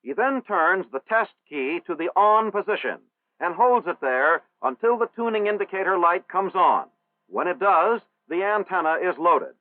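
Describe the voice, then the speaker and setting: Guy narrating an old army training film, kinda high voice, tinny dry sound. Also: animated, no echo. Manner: formal, measured, instructional.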